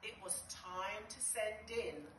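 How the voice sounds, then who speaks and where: A middle-aged woman reading a picture book to young children in an expressive, deliberate way with dramatic pauses. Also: medium pitch. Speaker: nursery school storyteller. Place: small nursery classroom, indoors. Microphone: camera a few metres away. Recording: roomy, distant, noisy phone-style video.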